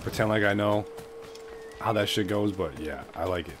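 Young male let's-player, deep low voice, hyped and foul-mouthed, faint game music under him. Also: normal speed. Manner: excitable, swears constantly, says "dude" and "bruh". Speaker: gameplay commentator. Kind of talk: casual gameplay commentary. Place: small bedroom.

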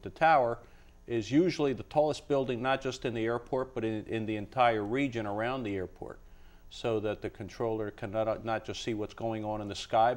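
Low-voiced man explaining, halting and measured. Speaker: college associate dean, interview guest. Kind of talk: explanatory interview answers. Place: indoor TV studio. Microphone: lapel.

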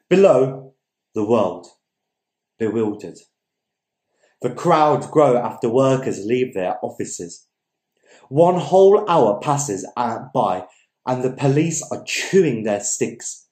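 A young man reads a story aloud in a theatrical, emphatic way. His voice is soft-edged and dark in tone, with deliberate pauses. The room around him rings with noticeable echo. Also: mid-range voice. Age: young adult. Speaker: story reader. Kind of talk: dramatic reading of literary fiction. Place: indoors, small domestic dining room. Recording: echoey room.

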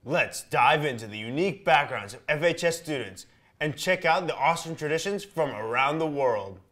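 A teenage boy anchors a student newscast and reads upbeat links between stories. His voice sits somewhat high for a man. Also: expressive, normal speed, sharp tone. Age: teens. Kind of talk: scripted news anchoring.

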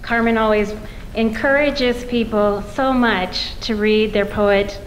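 A woman's voice: on the high side, steady tone, slow, a little hiss or hum, low-quality recording. A middle-aged woman giving an informal spoken introduction to an audience from a stage. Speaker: poet introducing her reading. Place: stage in a meeting hall with seated audience. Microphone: stand microphone.

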